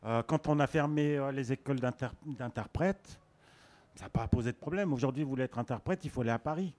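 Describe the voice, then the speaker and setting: A man's voice: mid-range voice, fairly quick, a little mellow. A man speaking emphatically in a group discussion, sometimes hesitating. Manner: impassioned, emphatic, hesitant at times. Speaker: participant in a discussion. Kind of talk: spontaneous discussion.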